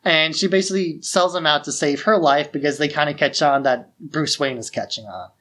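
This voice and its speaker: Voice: mid-range voice, fairly quick, fairly bright tone. Casual podcast host explaining a story. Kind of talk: casual film plot recap.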